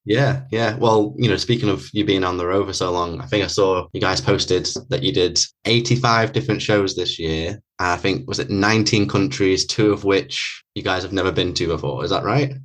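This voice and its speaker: A man's voice: sits low, moderate pace, hardly any pauses, fairly bright tone. A man hosting a podcast, asking long rambling questions full of "you know" and "like". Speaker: podcast host. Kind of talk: interview questions.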